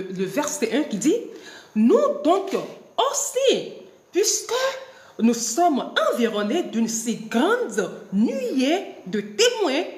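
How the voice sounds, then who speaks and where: A woman's voice: high voice, reverberant. A woman preaching a devotional sermon with a cold, laughing often and restarting her sentences. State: has a cold.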